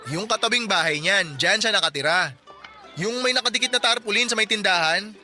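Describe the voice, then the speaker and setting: A chatty young neighbor. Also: male voice, high voice, a little fast, sharp tone, soft background music. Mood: friendly chatty. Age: 20s. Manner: chatty, joking, helpful. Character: friendly chatty young working-class neighbor man. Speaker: neighbor in a radio drama. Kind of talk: Tagalog radio drama acting.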